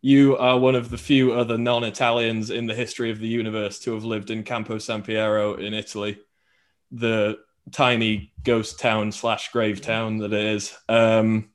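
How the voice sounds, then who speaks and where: A man's voice: on the low side, flat delivery, moderate pace. A man co-hosting a podcast, chatting casually in a slangy, laddish way. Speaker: podcast co-host. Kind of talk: casual chat.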